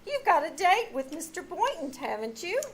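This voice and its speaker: A woman's voice: extremely high pitch, very animated, moderate pace. A woman playing a sympathetic, clucking landlady in an old-time radio comedy, performed live on stage. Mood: sympathetic, curious. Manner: fussy, sympathetic exclaiming. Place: small-town theater stage, performed live. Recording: live stage recording with audience noise.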